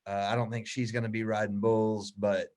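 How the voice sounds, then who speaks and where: Easygoing low-voiced man telling stories. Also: moderate pace. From United States. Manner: relaxed, easygoing storytelling. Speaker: interview guest. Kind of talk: casual interview chat.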